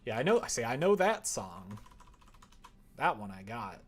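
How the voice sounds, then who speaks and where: A man chatting casually on a live stream, talking half to himself as he works, sometimes louder. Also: mid-range voice, sing-song, unhurried, slightly rough. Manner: mutters to himself while working, with sudden louder bursts. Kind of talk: casual stream chat while working. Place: indoors at a computer desk, keyboard typing behind.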